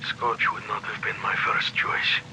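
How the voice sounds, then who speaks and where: A man's voice: measured pace, background noise, close to an everyday voice. An actor playing a reserved, proud man in a video game audio log, speaking with stiff, formal politeness. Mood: coolly polite.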